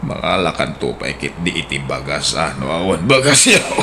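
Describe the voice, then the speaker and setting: An actor playing a generous, gravely ill man in his sixties who speaks weakly and slowly in a radio drama. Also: extremely low pitch, sing-song, bright, forceful tone, slight background noise, exaggerated voice. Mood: generous, laughing. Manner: weak and slow, offering gifts cheerfully. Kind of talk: Ilocano radio drama acting.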